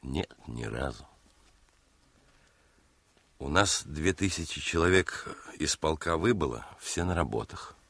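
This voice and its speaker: An actor playing a seasoned army lieutenant, a fond and sober elder brother, with measured, courteous delivery in radio drama style. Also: male voice, very deep voice, animated, a bit bright, breathy edge, no echo, heavily acted. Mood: calm, matter-of-fact. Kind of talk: theatrical radio drama acting. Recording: radio play with faint music.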